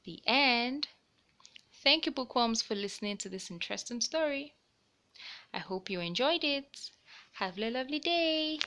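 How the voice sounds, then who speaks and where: A woman hosting a children's storybook read-aloud, explaining things simply and deliberately for young listeners. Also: a little high, expressive, pushed and bright.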